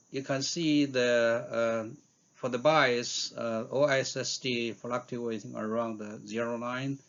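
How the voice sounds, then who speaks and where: A man giving a scientific conference talk in a halting way, with frequent hesitations and fillers. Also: mid-range voice, lo-fi sound. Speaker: researcher giving invited talk.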